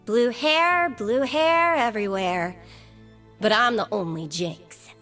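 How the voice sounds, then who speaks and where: A woman's voice: fairly high pitch, takes it slowly, a bit bright, faint music behind. A woman playing a brash, playful young female troublemaker for a video game, with theatrical, cheeky delivery. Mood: cocky, mischievous. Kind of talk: video game character voice lines.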